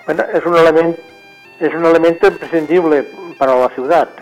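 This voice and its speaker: A man answers as a radio guest in a fairly high voice. He explains haltingly, repeating words as he searches for the next one, with faint music behind him. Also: lo-fi sound. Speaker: interview guest, agricultural engineer. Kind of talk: spontaneous interview answers. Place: remote call into a radio programme.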